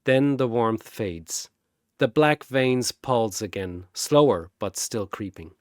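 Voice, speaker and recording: A man narrating a scripted story in a measured, dramatic way. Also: medium pitch, expressive, no echo, clean studio sound.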